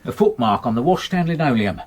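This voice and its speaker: Man playing a lively, meticulous English manservant. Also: medium pitch, a little fast, slight background noise, natural voice. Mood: measured, confiding. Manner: formal, precise, dryly long-suffering. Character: wry, meticulous English manservant assisting a gentleman detective. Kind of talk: British radio drama acting.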